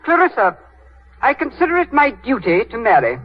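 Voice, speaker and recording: High-voiced, priggish young aristocrat. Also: male voice, huge pitch swings, normal speed, slight background noise, heavily acted. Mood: earnest, pompous. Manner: formal, hesitant, pompous. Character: stiff, earnest, priggish young British aristocrat. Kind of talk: 1930s radio drama acting. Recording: dull 1930s radio recording.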